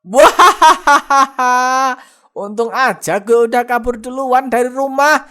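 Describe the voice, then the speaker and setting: Woman as shrill, sneaky cartoon swindler man. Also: fairly even intonation, moderate pace, lightly acted. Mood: gloating. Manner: exclamatory muttering to himself. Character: sneaky male swindler, gloating and quick to curse. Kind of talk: animated cartoon dialogue.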